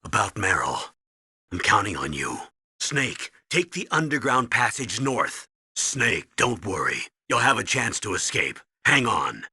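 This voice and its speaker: Forceful, husky military commander. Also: male voice, fairly low pitch, no echo, clean studio sound, exaggerated voice. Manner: commanding, emphatic, instructive. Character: gruff, urgent military commanding officer briefing his agent. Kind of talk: video game radio briefing acting.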